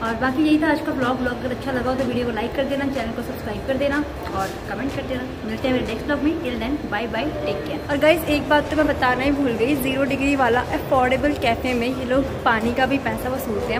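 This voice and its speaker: A woman's voice: high voice, very noisy. A young woman vlogger chatting casually and frankly to a phone held at arm's length, mixing words into her talk. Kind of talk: casual food vlog chat. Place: outdoors on city streets and in a metro station.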